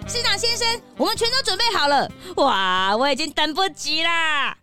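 A young cartoon sidekick with a very high voice that leaps around freely. The character is naive, curious and excitable, exclaiming dramatically at everything in a children's detective story, with music underneath. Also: female voice, moderate pace, full character voice. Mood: excited. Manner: exclamatory, wide-eyed, dramatic reactions.

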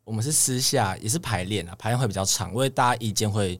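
A man's voice: on the low side, fast. A young man with a Taiwanese Mandarin accent, chatting casually as an idol trainee guest. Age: young adult. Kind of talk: casual podcast chat.

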